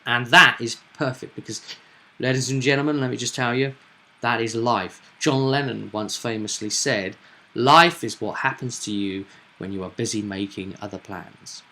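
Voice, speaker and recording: A man's voice: mid-range voice, normal speed, bright, forceful tone. A man running a tabletop roleplaying game, explaining things in a reflective, conversational way. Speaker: game master.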